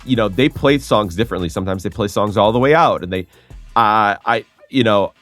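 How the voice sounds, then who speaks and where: A man hosting a DJ podcast who reads out quick either-or questions and chats casually. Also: fairly low pitch, a bit bright, soft background music. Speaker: DJ and podcast host.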